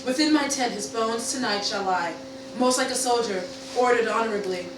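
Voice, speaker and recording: A woman's voice: on the high side, normal speed, natural voice. A woman playing a magnanimous victorious young commander, speaking formally in a Shakespearean radio play. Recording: echoey room, distant mic, noisy.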